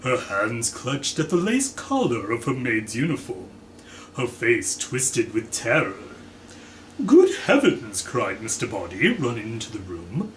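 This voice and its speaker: A man giving a dramatic, deliberate reading of a story as its theatrical narrator. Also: mid-range voice, big pitch swings, fairly bright tone. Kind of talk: dramatic audiobook-style narration. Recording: echoey room, faint background noise.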